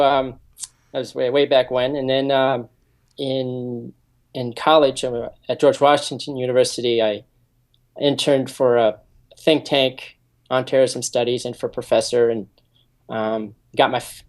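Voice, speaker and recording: A man in his forties, a security consultant, earnestly explaining his background in a radio interview with frequent ums. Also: medium pitch. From United States. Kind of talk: interview answers.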